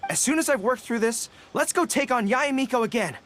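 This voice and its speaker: A woman's voice: on the high side, animated, a little fast, slight background noise, lightly acted. A woman voicing an earnest, easily flustered young dog-eared general in a video game. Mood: earnest, hopeful. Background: music.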